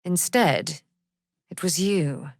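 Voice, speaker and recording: Proud immortal lady knight, fantasy game, really slow n grand, declaims everything like old-time speech. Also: fairly low pitch, darkish tone, no echo, clean studio sound. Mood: resolute. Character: proud immortal female warrior knight, righteous, vengeful, regal. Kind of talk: theatrical fantasy game voice acting.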